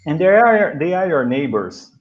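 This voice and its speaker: Brazilian English teacher, slightly dull audio. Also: male voice, on the high side, very animated, moderate pace. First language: Portuguese. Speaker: English teacher hosting a guest chat. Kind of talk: casual teaching chat.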